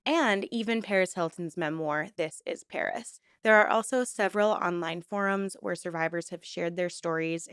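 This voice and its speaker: A woman's voice: lowish voice, smooth, no room sound, clean studio sound. A woman hosting a true-crime commentary vlog, stuffed up and nasal from a cold, talking in an earnest, conversational way. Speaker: YouTube host.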